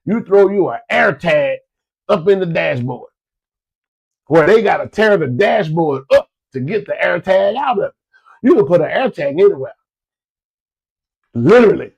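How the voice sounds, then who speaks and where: Stuffed-up man, gravelly and high. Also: animated, moderate pace. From Florida. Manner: folksy, repetitive, addresses listeners as y'all. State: stuffed-up nose from a cold. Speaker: host giving business advice. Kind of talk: casual motivational talk to listeners.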